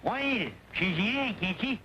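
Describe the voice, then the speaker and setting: Noisy old film sound, cranky old cowboy sidekick, high voice, folksy Western drawl, feisty, blustering. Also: male voice, animated, normal speed, bright, forceful tone, highs cut off. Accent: American rural Western.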